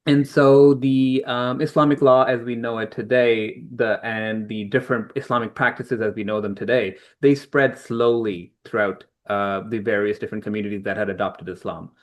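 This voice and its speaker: A man's voice: medium pitch, fairly even intonation, normal speed, few pauses. A middle-aged man from Pakistan, an author being interviewed, explaining things thoughtfully with frequent ums and restarts. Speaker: author guest. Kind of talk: conversational interview answers.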